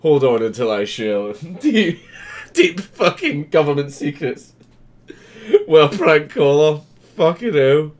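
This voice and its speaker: A man's voice: fairly high pitch, big pitch swings, slow pace. A man giving casual commentary as he reads a news article aloud, swearing freely in an amused, sardonic manner. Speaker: host.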